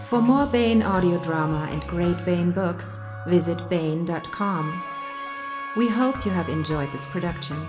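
Female promo announcer, slightly low register, scripted read over a clear music bed. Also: unhurried. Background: prominent music.

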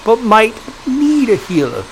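Sly old-time gangster boss, folksy slang, voice jumps around high, bit of noise behind. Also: male voice, huge pitch swings, a little slow, full character voice, poor audio. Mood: insinuating. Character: genial, sly sweet-toothed gangster boss, 1930s Detroit. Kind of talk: audio drama acting.

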